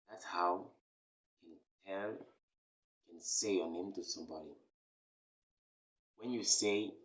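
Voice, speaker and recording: A man from Cameroon teaches beginner French with a very low, deep voice. He speaks slowly and deliberately. He repeats each key phrase several times for emphasis. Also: fairly bright tone, low-quality recording. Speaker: French teacher, channel host. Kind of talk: slow, repetitive beginner language lesson.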